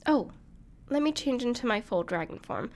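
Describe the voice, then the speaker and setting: A woman playing a gentle, caring dragon friend in an intimate audio roleplay, speaking reassuringly to the listener with some hesitation. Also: on the high side, animated, normal speed, lightly acted. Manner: soft-hearted, reassuring, hesitant with small laughs.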